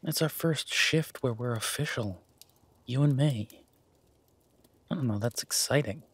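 A man playing an affectionate, easygoing werewolf boyfriend in an intimate roleplay audio, with a husky, breathy voice and a soft, teasing manner. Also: mid-range voice, measured pace, no room sound. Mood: tender, excited. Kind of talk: intimate boyfriend roleplay audio, casual one-sided chat.

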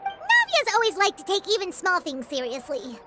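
Tiny chirpy fairy companion for a game: extremely high, animated, childlike, loud music underneath. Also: female voice, normal speed, heavily acted. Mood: curious. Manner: refers to herself in third person, excitable. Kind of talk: video game character acting.

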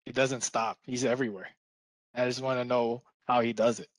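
A young man from the Philippines whose first language is Tagalog, chatting casually on a video call through a headset, soft-spoken and trailing off at the ends of sentences. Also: medium pitch, flat delivery, a little fast, dry recording. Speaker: support engineer guest.

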